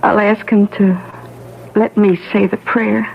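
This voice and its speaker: A grieving woman speaks slowly and hesitantly in radio drama style. She is tender and reluctant. The sound is narrow and tinny with faint noise. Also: medium pitch, little pitch movement, gravelly. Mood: tender, sorrowful. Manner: slow, hesitant. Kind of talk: old-time radio drama acting. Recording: thin phone-like old radio, faint noise.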